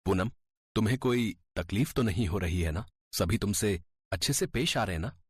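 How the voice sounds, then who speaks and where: An affectionate young husband in a cartoon tale, voiced by a man. His voice is lowish and rough-edged, with a dry, close sound and an easygoing, wry delivery. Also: expressive, moderate pace, no room sound. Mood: reassuring.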